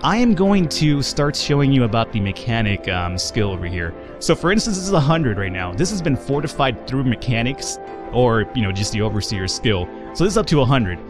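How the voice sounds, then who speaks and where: Young male let's-play host, fast, rambling, game music playing underneath. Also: medium pitch, sing-song. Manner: rambling, self-correcting, chuckles at his own slips. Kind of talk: gameplay walkthrough commentary.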